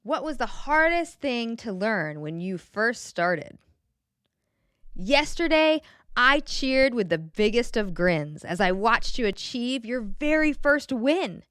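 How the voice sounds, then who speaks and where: A woman reading a children's picture book aloud in a gentle, expressive way, pausing to put questions to the young listener. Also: on the high side, no room sound, clean studio sound. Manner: gentle, expressive, clearly enunciated storybook delivery.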